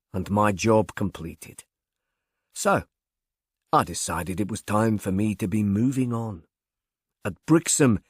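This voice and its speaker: A man's voice: medium pitch, no echo, studio quality. A man voicing a smug, insane murderer who confesses in pompous, precise, wordy language, as in an audiobook. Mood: smug, matter-of-fact. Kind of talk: audiobook first-person confession.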